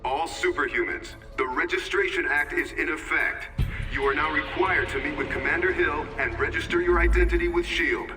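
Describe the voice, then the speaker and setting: An actor playing a stern male announcer in a video game, issuing orders in a loud, official manner. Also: a little high, normal speed, close to an everyday voice. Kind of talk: video game cutscene acting. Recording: echoey, far from mic, music behind.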